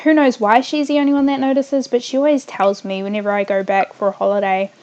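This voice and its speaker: A young woman with a mild New Zealand accent talks casually through a home gaming stream. Her voice sits a touch high, and she wanders between thoughts, leaves sentences unfinished, and fills gaps with hums and little noises. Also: level tone, fast talker, darkish tone, muffled recording. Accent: New Zealand, slightly softened by Australia. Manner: rambling, scattered, trails off mid-sentence; hums and makes small random noises while working. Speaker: gaming livestreamer. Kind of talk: casual livestream chat while building in a game.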